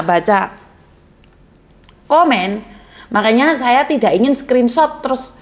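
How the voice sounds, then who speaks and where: A woman hosting a call-in advice chat, speaking bluntly and plainly, by her own account coarse and outspoken. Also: fairly high pitch. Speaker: host of a call-in advice channel. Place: Hong Kong.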